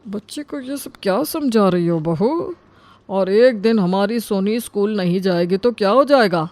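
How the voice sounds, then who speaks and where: A woman voicing a mild elderly father-in-law, gentle and reasoning, in an animated family story. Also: mid-range voice, normal speed, dry recording, lightly acted. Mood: mild reproach.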